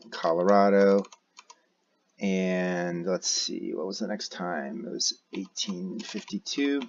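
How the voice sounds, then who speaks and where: Low-pitched American man, hesitant tutorial voice. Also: dull audio. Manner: hesitant, thinking aloud while typing. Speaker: tutorial presenter, amateur radio operator. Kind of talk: unscripted screencast software walkthrough. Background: keyboard typing and mouse clicks.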